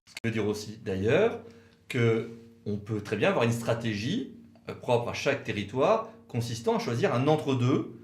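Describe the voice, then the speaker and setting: A man's voice: mid-range voice, very animated, normal speed, a little hiss or hum. A man co-presenting a legal explainer in a didactic, step-by-step way. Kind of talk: explanatory legal video talk, semi-scripted. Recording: roomy, echoey room sound.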